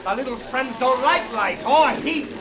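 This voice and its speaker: An actor playing an excitable, commanding time traveler in urgent, theatrical 1950s radio drama style. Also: male voice, extremely high pitch, moderate pace, highs cut off. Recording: noisy vintage radio recording.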